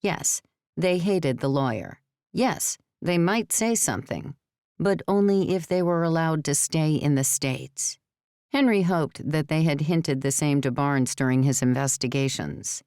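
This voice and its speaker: Very low, smooth-voiced woman narrator. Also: unhurried, a little mellow, no room sound, studio quality. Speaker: audiobook narrator. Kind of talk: scripted novel narration.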